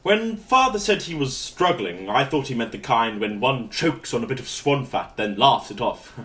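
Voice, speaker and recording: An actor playing a pompous, inept posh British brother in a radio comedy, grandiose and formal but hesitant. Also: male voice, medium pitch, animated, moderate pace, sharp tone. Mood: pompous, rueful. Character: pompous, inept posh brother running a failing antique shop.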